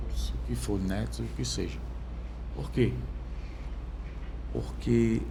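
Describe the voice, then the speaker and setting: A young Brazilian man speaking as a podcast guest, telling his own story in a halting way, with many fillers and restarts. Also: mid-range voice, creaky, a little mellow, a little hiss or hum. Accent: Brazilian Portuguese. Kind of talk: personal testimony in conversation. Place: podcast studio.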